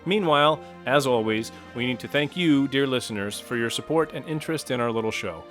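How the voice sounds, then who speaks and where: A man's voice: mid-range voice, fairly quick, a bit bright, soft background music. A man reading a scripted podcast outro in a playfully dramatic way. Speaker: podcast announcer and player.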